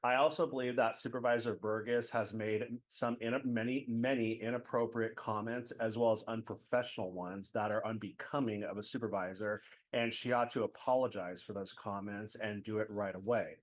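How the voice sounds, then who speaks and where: A man's voice: lowish voice, fairly even intonation. Blunt middle-aged man calling in. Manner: blunt and critical, sometimes halting. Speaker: public commenter. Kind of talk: public comment at a council meeting. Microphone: phone call.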